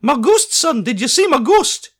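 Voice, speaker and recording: A man reading an audiobook, voicing an eccentric, touchy male painter with a Scottish accent and an unusually high-pitched voice. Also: sing-song, normal speed, exaggerated voice. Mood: eager. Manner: theatrical, grumbling, pleading.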